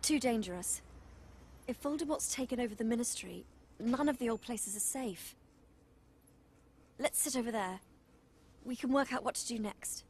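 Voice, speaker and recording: Video game teen witch: British, brisk, bossy, fairly high voice, on the run. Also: darkish tone, slightly rough. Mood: worried, decisive.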